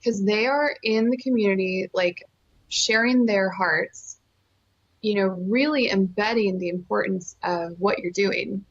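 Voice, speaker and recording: A woman's voice: mid-range voice, measured pace, muffled recording. A woman, telling her story as an interview guest in an earnest, enthusiastic, emphatic way. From California, born in Costa Rica. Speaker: interview guest, nonprofit fundraiser.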